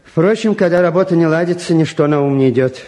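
An actor plays a mild intellectual father of middle age. His voice sits a little high and he speaks deliberately and soothingly. The style is that of a vintage Soviet radio play. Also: a little mellow, lightly acted. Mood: mild, genial. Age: middle-aged. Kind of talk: mid-century Soviet radio-play acting.

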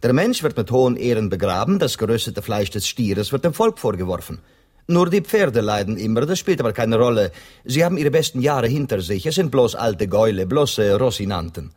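A man's voice: medium pitch, very animated, brisk, darkish tone. A male actor playing a suave, sardonic and courteous Spanish guide in a radio play.

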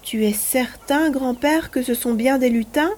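A woman playing a curious, eager little grandchild with a husky voice and an inquisitive, expressive manner in an audio drama. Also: high voice, measured pace, a little hiss or hum. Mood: doubtful. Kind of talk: French-language audio drama acting.

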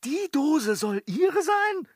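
An actor in a German radio play playing a cheeky, boastful manservant who blusters and swings between sly muttering and protest. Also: male voice, extremely high pitch, sing-song, slow pace, no echo, clean studio sound, exaggerated voice. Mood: indignant protest.

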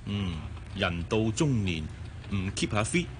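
An actor playing an attentive, teasing middle-aged suitor in a Cantonese radio drama, with a Hong Kong accent. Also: male voice, fairly low pitch, big pitch swings, a little slow, background noise. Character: middle-aged married man, attentive, smooth-talking suitor. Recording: radio drama with music underneath. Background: background music.

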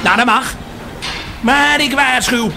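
High-pitched, furious rallying cow. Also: male voice, slow pace, creaky, background noise, full character voice. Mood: scornful, urging. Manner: declamatory, rousing, rhetorical questions and repeated refrains. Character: furious cow rallying the herd against city builders. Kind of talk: theatrical radio play monologue.

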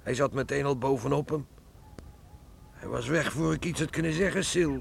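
An actor in a Dutch radio play, playing a loyal village neighbour who speaks in a subdued, halting, deferential and sorrowful way. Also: male voice, mid-range voice, gravelly, slight background noise. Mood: shaken.

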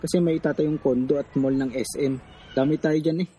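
An actor playing a casual slum activist, a friend, in radio drama dialogue. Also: male voice, on the high side, fairly even intonation, fairly quick, warm tone, slightly rough. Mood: casual, urging.

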